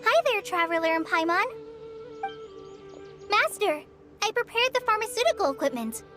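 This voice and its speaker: A woman's voice: very high-pitched, very animated, normal speed. A woman voicing an eager, timid young female apprentice in a game, earnest and a little unsure of herself. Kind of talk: game dialogue voice acting. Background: game music.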